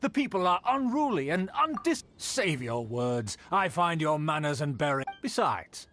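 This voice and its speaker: A haughty nobleman in an anime game, fairly high for a man and swooping in pitch. He is pompous, formal and scolding, full of disdain. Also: very animated, a little slow, a bit bright, a little hiss or hum. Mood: offended. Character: haughty, disdainful male aristocrat obsessed with manners.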